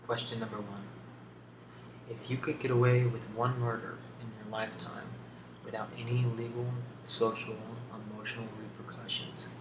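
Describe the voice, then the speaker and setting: A man speaking as an ASMR video host, answering questions off the cuff in a hesitant, thoughtful way with long pauses. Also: medium pitch, flat delivery. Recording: roomy, distant, clearly noisy home recording.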